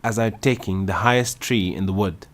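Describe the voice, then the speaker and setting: A man's voice: fairly low pitch, moderate pace, pitched above the performer's own voice, lightly acted. A man voicing a fretful, shrieking female pigeon who guards her eggs, agitated and stumbling over repeated lines in an amateur storybook reading. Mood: shrill.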